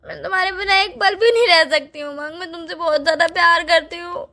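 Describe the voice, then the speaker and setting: A woman's voice: very high voice, brisk, sharp tone, full character voice. A woman playing a desperate, clingy young girlfriend, tearful and pleading in a staged phone-call drama. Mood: distraught, begging. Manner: pleading, repetitive. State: tearful, crying.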